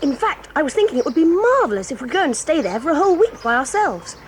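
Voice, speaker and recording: An actress voicing a proud, quick-tempered tomboy girl in an audio drama, talking in a brisk, bossy and eager way. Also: high-pitched, sing-song, a little hiss or hum. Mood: excited, lively. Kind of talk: 1980s audio drama acting.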